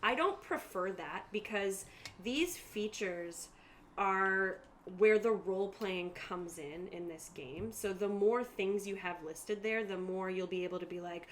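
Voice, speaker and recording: A woman's voice: medium pitch, unhurried. Woman, game master, informal rules explanation, mid-range voice, faint background noise. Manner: informal, explanatory, reading out lists.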